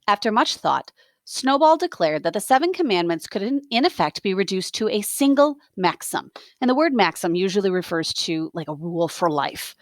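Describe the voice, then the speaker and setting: A woman reading a story aloud as a teacher, expressive, with explanatory asides. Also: mid-range voice, moderate pace, no room sound, clean studio sound.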